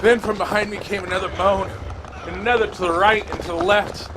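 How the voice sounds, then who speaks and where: A man playing a wounded, frightened survivor, telling his story in a dramatic, halting first-person horror audio drama delivery. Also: sits high, steady tone, noisy background, lightly acted. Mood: panicked. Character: terrified wounded male survivor of a zombie horde.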